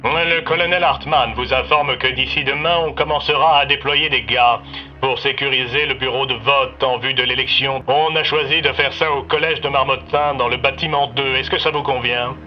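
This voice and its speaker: Over a dull, filtered radio link comes a military operator, acted by a man. He is courteous and procedural, a little hesitant, in a mid-pitched voice, with faint music behind, as in an audio drama. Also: steady tone, normal speed. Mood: polite, businesslike. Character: businesslike military radio operator, secretly a villain's soldier. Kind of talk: audio fiction acting. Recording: muffled radio-style sound, faint music.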